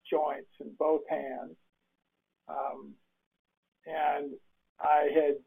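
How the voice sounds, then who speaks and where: An older man hosts a relaxed interview, his voice gravelly and a little husky. He rambles, restarting his sentences as he goes. The sound is thin and boxy, like a phone call. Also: a little high. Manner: rambling, many restarts and fillers. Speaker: interview host. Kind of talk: casual interview chat. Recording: phone-like remote call audio.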